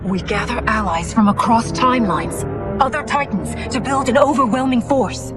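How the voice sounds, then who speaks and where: A determined warrior woman played by an actress with a higher voice. Her delivery is that of a dramatic video game cinematic. Loud music swells behind her. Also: normal speed, lightly acted.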